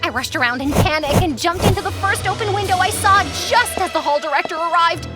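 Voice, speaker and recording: A woman's voice: very high voice, fairly quick. A young woman narrating her own cartoon story in a chatty, playful, sarcastic way. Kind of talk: scripted first-person cartoon narration. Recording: narration over music.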